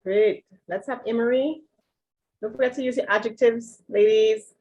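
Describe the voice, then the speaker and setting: Brisk woman co-host, fairly high voice, calls the roll. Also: steady tone, a little mellow, dull audio. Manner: brisk, calls out names and thanks speakers. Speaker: co-host calling on speakers. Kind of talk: online group meeting.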